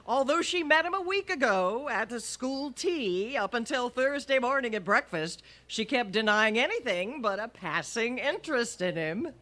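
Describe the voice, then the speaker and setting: A woman's voice: fairly high pitch, lively intonation, moderate pace, few pauses, fairly bright tone. A woman playing a wry English teacher with dry, sardonic quips in a radio comedy. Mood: wry narrating. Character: wry, wisecracking female high school English teacher. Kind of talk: old-time radio comedy acting.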